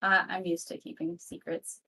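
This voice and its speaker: A woman voicing a gentle, curious fighter in a casual tabletop roleplay, speaking hesitantly and considerately. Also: moderate pace, natural voice, rough recording.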